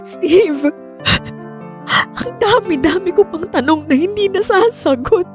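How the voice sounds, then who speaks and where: A woman's voice: extremely high pitch, animated, takes it slowly, darkish tone, soft background music, exaggerated voice. A woman playing a hurt, pleading wife seeking closure from an old love, in a Filipino radio drama. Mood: anguished pleading. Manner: emotional, pleading.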